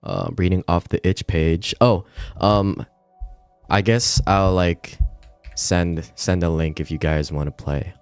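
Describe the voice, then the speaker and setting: Smooth, low-voiced man livestreaming casually. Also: steady tone, slow pace, dry recording. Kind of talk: casual livestream chat, reading game text aloud. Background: music.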